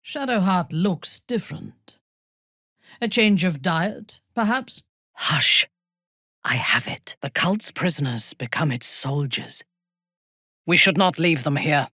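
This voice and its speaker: A woman playing a cold, ruthless female dark elf warrior in a video game, speaking in a husky voice with slow, haughty deliberation. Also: low voice, no room sound, clean studio sound, full character voice. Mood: cold, composed.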